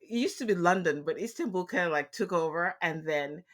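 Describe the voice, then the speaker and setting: A man's voice: high voice, animated, fairly quick, fairly bright tone. A man from Nigeria hosting a podcast interview, chatty and upbeat. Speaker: podcast host. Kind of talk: casual interview.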